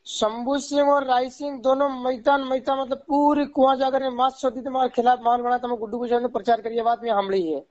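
A man's voice: very high voice, flat delivery, brisk, darkish tone. A man speaking brusquely and repetitively in a confrontational phone call. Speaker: caller. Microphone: telephone. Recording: dull lo-fi phone call recording.

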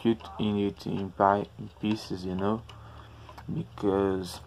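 A man speaking non-native English, haltingly searching for words as he narrates an informal how-to tutorial. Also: fairly low pitch, flat delivery, darkish tone, a little hiss or hum. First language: not English. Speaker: DIY tutorial host.

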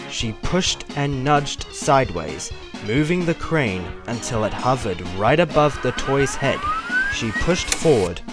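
A man narrates a story from a script, with an average-pitched voice. Music plays clearly behind his reading. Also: no room sound.

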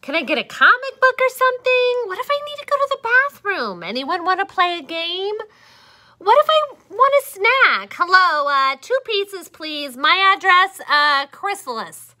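A woman voicing an impatient young male caterpillar who talks in a whiny, excitable, dramatic way. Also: sits high, slow, heavily acted.

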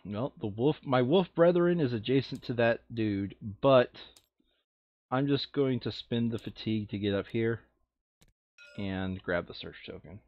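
Man, board game player, lively intonation, casual chat, muffled dry recording. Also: mid-range voice, measured pace, no echo. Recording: dull, lo-fi voice chat microphone.

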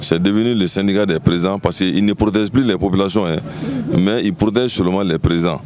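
A man's voice: low voice, fast, background noise. A middle-aged man with a Malian accent giving a firm opinion outdoors in a street interview, speaking into a handheld mic. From Mali. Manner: firm, mixes languages. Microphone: handheld mic held by interviewer.

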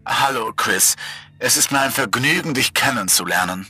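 Guy doing a creepy sweet girl ghost in a tv, horror audiobook, pitched way up, playful n coy. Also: lively intonation, normal speed, bright, forceful tone, breathy edge, no echo, soft background music, full character voice. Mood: friendly, coy. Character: eerie, sweet, girlish young woman in a television.

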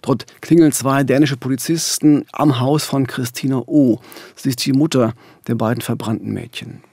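A man's voice: medium pitch, fairly even intonation, moderate pace, darkish tone, husky, dry recording. A middle-aged man narrating a true-crime podcast as co-host at a studio microphone. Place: small radio studio. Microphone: studio microphone, headphones.

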